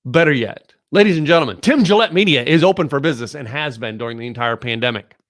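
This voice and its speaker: A man's voice: medium pitch, fast talker, no echo, clean studio sound. A man hosting a podcast, delivering a blunt, emphatic and preachy monologue straight to camera. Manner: blunt, emphatic, preachy, repeats "ladies and gentlemen". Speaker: podcast host. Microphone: recorded on camera.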